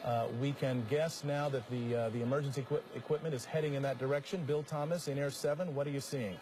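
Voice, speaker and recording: A man's voice: medium pitch, steady tone, quick pace. An American man anchoring live breaking news, commenting on events as they unfold. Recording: clearly noisy live feed, vehicle drone.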